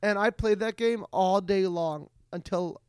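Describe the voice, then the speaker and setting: A man co-hosting a casual comedy podcast, excitable and stammering, often repeating his words. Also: high voice, a little slow, clean tone, no room sound, studio quality. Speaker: podcast co-host.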